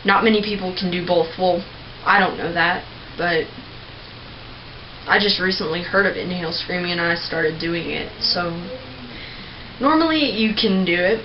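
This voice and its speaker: A young woman giving a casual, rambling tutorial on screaming technique, clearing her throat often. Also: on the low side, normal speed. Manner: chatty, rambling, with many filler words and throat clearing. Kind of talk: casual home tutorial video. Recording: dull lo-fi home recording with faint noise.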